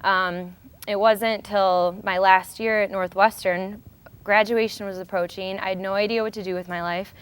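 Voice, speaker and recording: A woman's voice: medium pitch, steady tone, moderate pace, clear, resonant tone. An American woman hosting an informal educational show, presenting as an acupuncturist.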